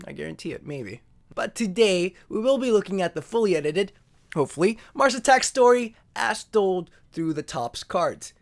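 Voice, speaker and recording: A man's voice: sits high, lively intonation, normal speed, vocal fry. A man hosting an informal video introduction, wry, joking and self-deprecating. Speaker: host.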